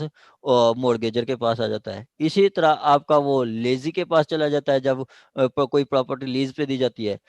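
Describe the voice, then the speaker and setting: A man's voice: mid-range voice, a little mellow, no room sound, highs cut off. A man with a Pakistani accent teaching evidence law to a class, explaining step by step with many fillers and checking that students follow. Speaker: law lecturer.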